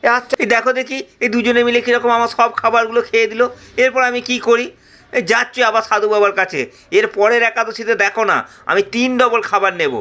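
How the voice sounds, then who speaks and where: A man's voice: very high voice, steady tone, quick pace, pushed and bright, voice pushed up. A man doing a hungry hot-tempered young disciple. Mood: indignant. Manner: whiny, indignant complaining. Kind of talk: storytelling voice acting.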